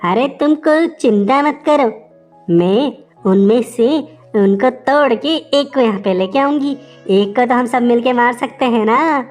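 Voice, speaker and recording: Lady doing a sneaky braggy girl wolf for a kids story, high pitched n taunting. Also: unhurried, a little mellow, soft background music, lightly acted. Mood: scheming. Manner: taunting, theatrical. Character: cunning boastful female wolf, scheming and gloating.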